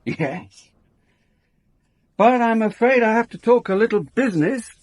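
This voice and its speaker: A man's voice: extremely high pitch, expressive, measured pace, heavily acted. An actor playing a wry, world-weary police inspector who narrates his own story in a radio drama. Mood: probing. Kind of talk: radio drama acting with first-person narration.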